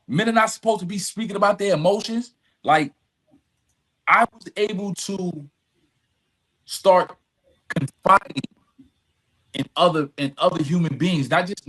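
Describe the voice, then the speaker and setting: A middle-aged man with a somewhat high-pitched voice speaks as an interview guest. He tells personal stories candidly, in informal language, often repeating a phrase to drive it home. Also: fairly bright tone. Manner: emphatic repetition of phrases, informal address.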